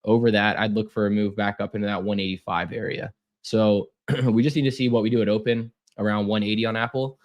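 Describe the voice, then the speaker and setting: A man's voice: lowish voice, fairly even intonation, brisk. A young man losing his voice, running a casual live trading stream with lots of fillers and repeated words.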